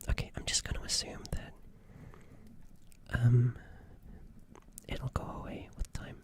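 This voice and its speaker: Whispering, gentle alien medic acted by someone. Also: natural voice. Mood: flustered, concerned. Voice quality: breathy whisper. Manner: hesitant, halting, repeats phrases softly. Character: gentle, apologetic alien medic tending a crashed human. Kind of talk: whispered ASMR roleplay.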